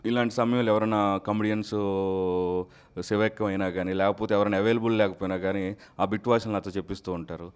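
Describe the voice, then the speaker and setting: A man's voice: fairly low pitch, fairly even intonation, quick pace, smooth. A man speaking conversationally as an interview guest, explaining his work. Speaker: guest, mimicry artist.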